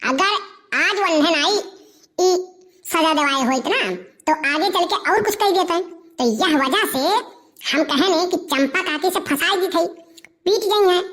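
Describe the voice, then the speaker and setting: A cheeky little schemer from an Awadhi cartoon comedy, played by a woman. The boy's voice is very high. He wheedles and argues like a born plotter. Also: unhurried, fairly bright tone. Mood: defensive. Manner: wheedling, argumentative. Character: cheeky, scheming village boy, nephew.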